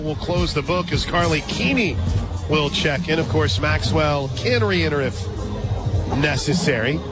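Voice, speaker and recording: A man calling softball play-by-play live on the radio, mixing clipped pitch calls with casual asides. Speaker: sports radio play-by-play announcer. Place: radio booth at an outdoor softball stadium. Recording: loud crowd and music behind. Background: loud ballpark crowd and music.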